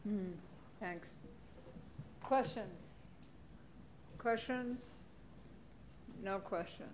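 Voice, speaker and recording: Slow, brief woman at a podium. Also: medium pitch, background noise.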